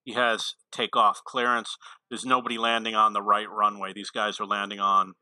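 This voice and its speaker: A man's voice: lowish voice, completely flat delivery, normal speed, sharp tone, no room sound, rough recording. A man giving casual, unscripted tutorial commentary on a video game in a matter-of-fact way.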